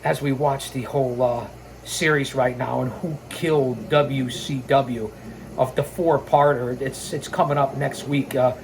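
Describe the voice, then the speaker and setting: A host of a wrestling storytelling show speaks in a mid-pitched voice over audible background noise. The delivery moves between scripted narration and informal commentary. The manner is opinionated, blunt and sarcastic, with profanity in the asides. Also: fairly even intonation, a little slow, rough recording. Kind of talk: reading a scripted biography with ranting, informal asides.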